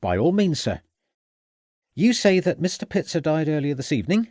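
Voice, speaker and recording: A man's voice: on the high side, very animated, normal speed, darkish tone, dry recording, clean studio sound, pitched above the performer's own voice. A man voicing a hearty, deferential rural police constable in an audiobook mystery. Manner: bluff, deferential.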